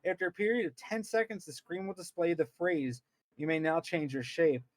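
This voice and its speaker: A man's voice: fairly high pitch, steady tone, normal speed, no echo. A man co-hosting a podcast, reading aloud with casual commentary, stumbling over words and repeating himself as he goes. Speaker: podcast co-host.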